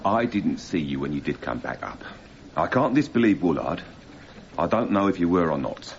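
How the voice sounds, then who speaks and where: Radio courtroom drama: police sergeant, lowish, curt and formal, varied intonation, faint noise. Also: male voice, moderate pace. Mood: defensive. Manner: flat denials, curt, formal. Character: stubborn, indignant male police sergeant on witness stand. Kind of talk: radio drama witness testimony. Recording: slightly dull, noisy radio recording.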